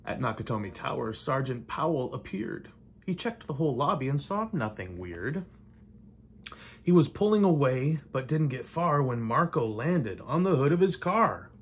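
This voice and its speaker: A man's voice: mid-range voice, very animated. Older man reading a rhyming storybook theatrically. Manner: theatrical, measured delivery with playful emphasis. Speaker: reader of a picture book. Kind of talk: rhymed storybook read-aloud. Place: indoors in a home living room. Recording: light room noise.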